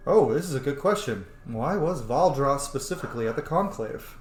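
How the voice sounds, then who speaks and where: Animated man chatting through a game, roomy sound. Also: medium pitch, moderate pace. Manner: chatty, opinionated, reacting aloud. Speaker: video game streamer and roleplayer. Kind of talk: casual game commentary, reading game text aloud. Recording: roomy sound with faint background noise.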